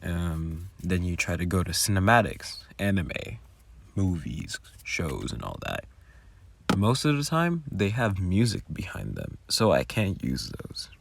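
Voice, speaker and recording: A man's voice: low-pitched, very animated, unhurried, creaky. A man giving casual behind-the-scenes commentary on his own audio work in a rambling, informal way. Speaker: audio roleplay creator.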